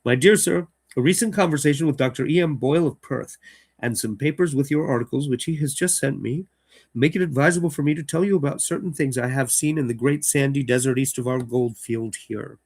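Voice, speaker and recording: A man's voice: mid-range voice, brisk, a little mellow, breathy edge, lightly acted. A man doing an earnest prospector reading his letter. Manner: formal letter-reading delivery.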